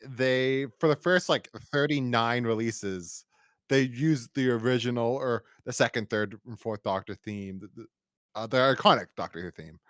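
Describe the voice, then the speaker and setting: A man's voice: mid-range voice, moderate pace, a bit bright, no room sound, studio quality. An American man hosting a podcast, chatting casually and wordily, with stammering restarts. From United States. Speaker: podcast host. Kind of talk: casual review podcast chat.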